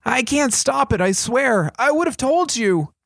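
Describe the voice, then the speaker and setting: A man's voice: very high-pitched, lively intonation, measured pace, creaky, fairly bright tone, dry recording, studio quality, voice pushed up, full character voice. A man voicing a teenage boy thief who sounds anxious, earnest and unsure of himself. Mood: pleading. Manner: pleading and uncertain. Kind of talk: audiobook character voice.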